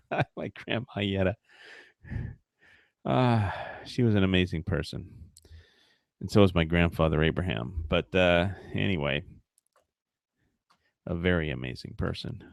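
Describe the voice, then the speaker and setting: A man's voice: low voice, vocal fry, no room sound, clean studio sound. A man hosting a livestream, rambling in casual commentary with frequent fillers, profane and mocking. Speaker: livestream host.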